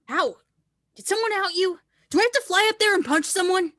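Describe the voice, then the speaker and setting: Woman playing a high-voiced, playful teenage girl. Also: a little fast, a bit bright, dry recording, clean studio sound. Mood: concerned. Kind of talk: screenplay table read.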